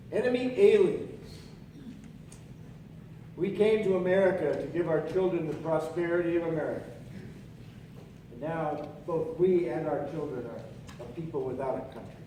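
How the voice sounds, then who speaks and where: A man's voice: on the high side, mellow, close to an everyday voice. An actor playing an embittered Japanese immigrant father in a 1940s radio drama, speaking in indignant rhetorical phrases. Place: theatre stage, live performance. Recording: very echoey hall, distant, noisy.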